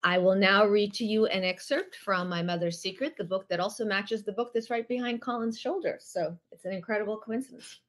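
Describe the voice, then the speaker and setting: A woman from the former Soviet Union whose first language is Russian, reading an excerpt from her historical novel over a video call from a small room at home, at a measured pace with occasional self-corrections. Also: mid-range voice. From Odessa, then USSR. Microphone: laptop webcam mic on video call.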